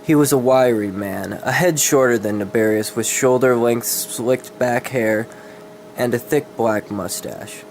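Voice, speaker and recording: A man's voice: medium pitch, unhurried. A man giving a dramatic reading of fantasy horror fiction, stumbling over words and correcting himself. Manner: stumbling, self-correcting delivery. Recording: clear background noise.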